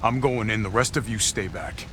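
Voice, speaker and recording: An actor playing a gruff, determined middle-aged military squad captain in a video game, speaking in terse commands. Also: male voice, on the low side, moderate pace, bright, forceful tone, a little hiss or hum. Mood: terse, resolute.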